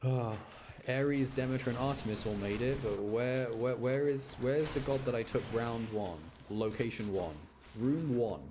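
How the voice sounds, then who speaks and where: A man's voice: medium pitch, a little slow, noisy background. A man giving casual live commentary as he streams a video game, rambling and often repeating himself.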